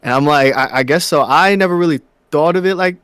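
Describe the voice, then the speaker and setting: A young man from New York, a musician guest in a casual interview, talking softly and haltingly with many fillers and restarts. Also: on the high side, clean tone, no echo, studio quality.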